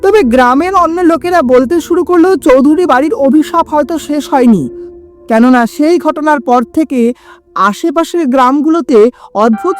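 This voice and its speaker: A woman narrating a scripted horror story in a dramatic storytelling manner, with sudden drops in her voice. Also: sits high.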